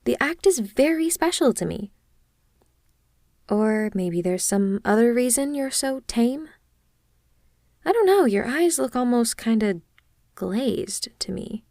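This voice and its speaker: A woman's voice: a little high, very animated, slow pace, pauses often, warm tone, no echo, studio quality, heavily acted. A woman playing an obsessive, clingy yandere kidnapper in an intimate ASMR roleplay, speaking in a gushing, hesitant, awkwardly earnest way straight to the listener. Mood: coaxing, concerned.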